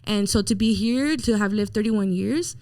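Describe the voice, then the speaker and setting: A woman's voice: medium pitch, normal speed, darkish tone, dry recording. A woman in her thirties, a musician guest in a casual interview, telling her story candidly and with animation. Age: 30s.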